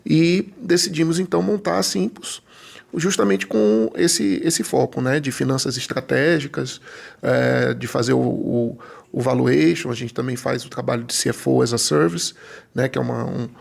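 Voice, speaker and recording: A man's voice: mid-range voice, gravelly, husky. A middle-aged man with a Brazilian accent, talking conversationally as a guest in an interview. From Brazil, born in Rio de Janeiro, grew up in Salvador, Bahia. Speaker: podcast guest, financial consultant.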